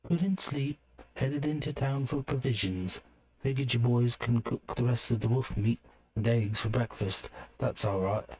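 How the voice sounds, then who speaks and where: A man's voice: medium pitch, unhurried, a little mellow, voice pushed up, natural voice, poor audio. A man voicing a young woman adventurer, light and matter-of-fact, in a fantasy story. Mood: casual.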